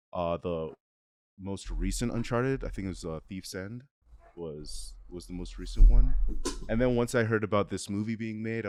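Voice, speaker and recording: A man co-hosting a casual film review, talking haltingly and rephrasing as he thinks. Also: low voice, dry recording, clean studio sound. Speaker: review show co-host.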